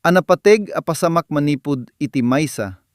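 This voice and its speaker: A male podcast host with a fairly high voice and an Ilocano accent from the Philippines. He reads formally in measured phrases, recorded dry and close. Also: expressive, no room sound. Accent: Filipino (Ilocano). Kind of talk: scripted introduction to a drama commentary.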